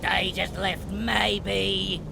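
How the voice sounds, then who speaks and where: A man voicing a crude, scrappy goblin underling with rough slangy speech and a gravelly voice, in a fantasy audiobook. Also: level tone, very slow, exaggerated voice. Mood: reporting to superior. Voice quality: creaky, gravelly, high. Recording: clear ambient noise behind voice.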